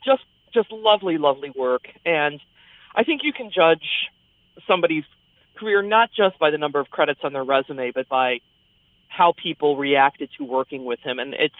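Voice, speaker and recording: Higher-pitched American critic on phone-like line. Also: male voice, moderate pace, no room sound. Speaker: theater critic. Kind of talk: conversational radio discussion. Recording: dry, narrow phone-like line.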